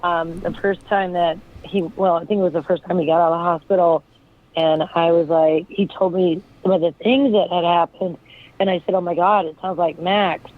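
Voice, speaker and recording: A hoarse woman with a raspy voice, a guest talking in a rambling, searching way with many fillers. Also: on the low side, steady tone, normal speed. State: hoarse from illness. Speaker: guest, friend of the deceased. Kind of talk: casual interview talk. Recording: tinny phone line.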